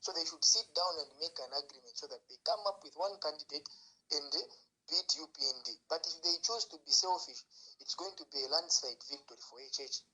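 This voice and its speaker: A Zambian man offers unscripted advice as a caller. His voice is bright and forceful with a husky grain. He is emphatic and tends to repeat himself. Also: fairly high pitch, a little fast, poor audio. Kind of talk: spontaneous political advice.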